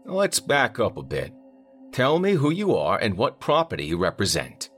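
A man's voice: medium pitch, huge pitch swings, moderate pace, a bit bright, faint music behind, voice pushed up. A man voicing a harried, middle-aged male manager who keeps up a strained, polite customer-service tone.

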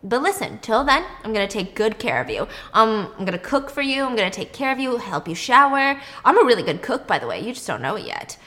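A woman storyteller plays a kidnapper who talks like a polite, casual guy. He is creepy, coaxing and matter-of-fact, in a lightly pitched voice. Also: sing-song, brisk, hardly any pauses, fairly bright tone. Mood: coaxing, menacing. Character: calm, creepy young man, polite kidnapper.

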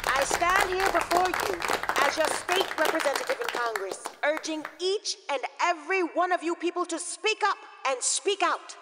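A high-pitched, fiery congresswoman orating. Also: very noisy. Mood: rousing. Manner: emphatic, declamatory, deliberate oratory. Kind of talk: political speech in historical audio drama. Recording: echoing hall with faint crowd.

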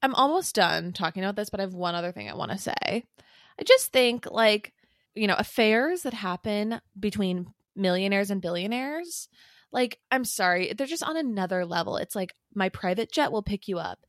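A woman speaks at a middle pitch in a dry recording. She co-hosts a casual, comedic gossip podcast. Her delivery is tongue-in-cheek, often mock-earnest. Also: animated, normal speed, dry recording. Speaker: podcast co-host.

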